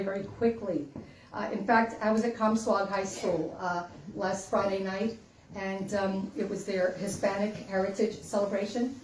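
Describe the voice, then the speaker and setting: A woman's voice: fairly high pitch, a little mellow, slight background noise. A woman in her sixties from the Dominican Republic, giving an earnest, emphatic campaign speech at a meet and greet. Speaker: congressional candidate. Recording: echoey room, mic from far off.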